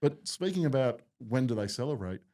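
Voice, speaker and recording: A man's voice: mid-range voice, expressive, brisk, warm tone. A man, co-hosting a casual sports podcast and arguing his view steadily. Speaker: podcast co-host.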